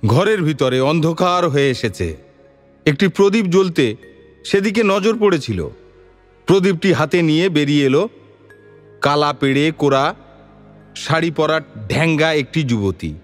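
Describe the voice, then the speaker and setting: A man narrating a scripted audio story in a measured, literary and expressive storytelling manner. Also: a little high, soft background music.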